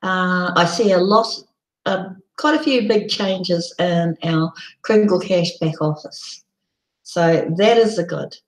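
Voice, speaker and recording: A woman with a croaky, hoarse voice, hosting a casual live broadcast and rambling with many ums. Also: fairly low pitch, slow pace, reverberant, low-quality recording. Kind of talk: casual live-stream chat.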